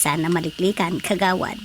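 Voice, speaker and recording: An actress playing a gossipy, teasing village council colleague in a radio drama comedy, with a Filipino accent. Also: female voice, fairly low pitch, lightly acted. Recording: faint background noise.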